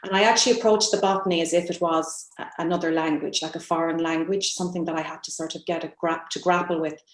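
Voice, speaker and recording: Low-voiced Irish woman in an echoey room. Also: fairly even intonation. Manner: halting, thoughtful, searching for words. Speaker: novelist guest on a literary panel. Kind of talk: reflective interview answer about own writing. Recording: roomy, echoing sound.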